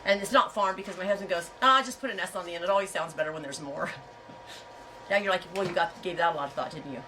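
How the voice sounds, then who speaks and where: A woman's voice: fairly low pitch, fast, recorded from a distance, noisy background. A woman on her farm vlog, chatting and rambling to her viewers with a stuffy head cold, laughing often. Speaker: yarn and fiber farm vlogger. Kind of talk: casual storytelling to viewers. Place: home on a farm.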